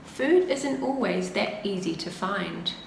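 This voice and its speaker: A woman voicing a wise, patient old grandmother bear in a children's storybook, gently teaching and encouraging. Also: medium pitch, expressive, takes it slowly, creaky, distant mic. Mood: instructive. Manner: gentle, instructive, reassuring. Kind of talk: children's storybook character reading. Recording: roomy, faint background noise.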